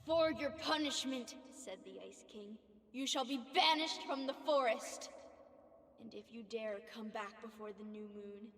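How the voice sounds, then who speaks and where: A woman voicing an excitable young child absorbed in make-believe, in a video game cutscene. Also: a little high, unhurried. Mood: theatrical, mock-menacing. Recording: long reverb.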